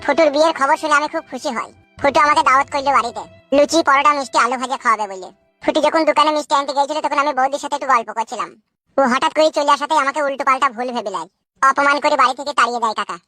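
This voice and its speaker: A man's voice: very high voice, rapid-fire, pushed and bright, no echo, soft background music. A man voicing a polite, aggrieved young village man in a comedy cartoon. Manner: deferential, explanatory.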